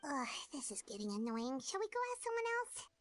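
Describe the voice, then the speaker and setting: Squeaky chirpy little fairy companion. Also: female voice, very animated, fairly quick. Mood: exasperated. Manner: chirpy, childlike, blunt. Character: small childlike fairy companion, chirpy, easily exasperated. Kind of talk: anime-style video game voice acting. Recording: music behind the voice.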